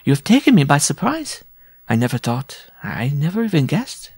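A man reading a story aloud, voicing a big, robust circus giantess in a teasing falsetto. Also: big pitch swings, moderate pace, darkish tone, slightly rough, dry recording, studio quality, exaggerated voice. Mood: feigned surprise.

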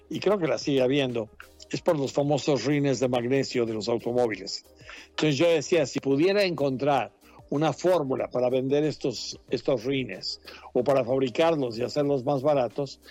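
A man in his late fifties from Mexico, a journalist talking about his past in a relaxed, rambling way with frequent laughs. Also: medium pitch. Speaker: journalist, correspondent. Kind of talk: casual interview chat.

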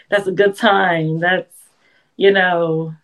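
A woman, a guest songwriter chatting casually in an interview, laughing often and talking in quick bursts with restarts. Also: lowish voice, fairly bright tone.

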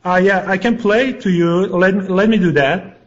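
A man's voice: high voice, moderate pace. A man calling a radio show over a computer microphone, talking hesitantly with many fillers. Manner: hesitant, many uh fillers, non-native phrasing. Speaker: caller. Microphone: computer mic over Skype. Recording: hollow, dull Skype call audio.

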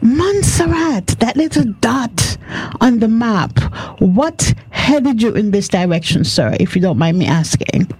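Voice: medium pitch, very animated, measured pace, few pauses, a little mellow, breathy edge. Polite interview host. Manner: polite, addresses guests formally. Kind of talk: live interview with guests.

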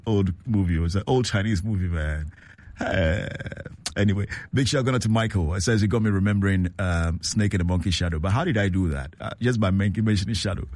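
A man hosting a call-in radio show, repeating callers' words back in a relaxed way. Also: on the low side, dry recording. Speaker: radio host.

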